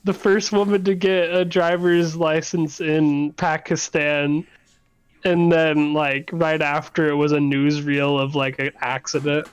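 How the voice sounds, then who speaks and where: A man's voice: a little high, little pitch movement, measured pace, clean tone, dry recording, poor audio. A man chatting casually with friends in a slangy, profane, joking way.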